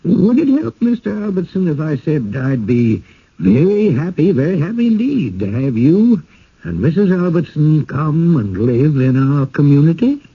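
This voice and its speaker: An actor playing a fussy, genial village widower in a radio drama, courteous, apologetic and given to stammering. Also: male voice, a little high, sing-song, normal speed, breathy edge, full character voice. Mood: eager. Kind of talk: 1980s radio drama acting. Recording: muffled old radio broadcast.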